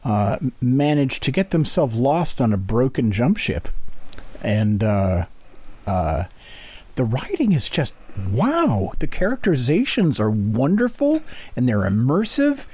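A man hosting a casual interview, speaking in an appreciative, halting way. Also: mid-range voice, huge pitch swings, a little mellow, husky. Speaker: interview host.

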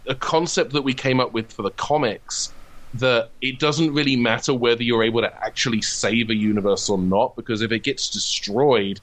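A man's voice: mid-range voice, fairly bright tone. A man in a casual interview, talking as a comedy writer in an enthusiastic, discursive, geeky way.

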